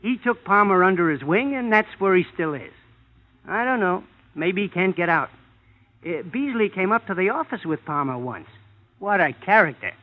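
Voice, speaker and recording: Exasperated showbiz agent, high American voice, very lively pitch, blunt, slangy, vintage radio, muffled. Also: male voice, normal speed. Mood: blunt, complaining. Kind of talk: old-time radio character acting.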